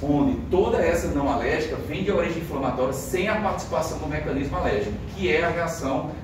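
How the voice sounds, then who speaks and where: A man's voice: on the high side, fast talker. Echoey distant Brazilian male lecturer. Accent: Brazilian Portuguese. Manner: conversational, frequent fillers and tag questions. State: has a cold. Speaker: medical lecturer. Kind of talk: classroom lecture. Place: lecture room. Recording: very echoey room, distant mic, noise.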